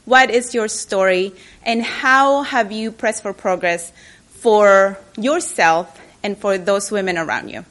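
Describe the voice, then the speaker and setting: A woman's voice: mid-range voice, slow pace, fairly bright tone. Woman, keynote speaker, nervous and chatty, restarts a lot, middle voice. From Chile. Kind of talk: personal speech to an audience. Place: public library event.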